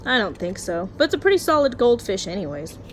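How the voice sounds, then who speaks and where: A woman teacher doing an expressive picture-book read-aloud, chatting directly to young children in an encouraging, explanatory way. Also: medium pitch, quick pace, a little hiss or hum, low-quality recording. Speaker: teacher reading aloud to her class.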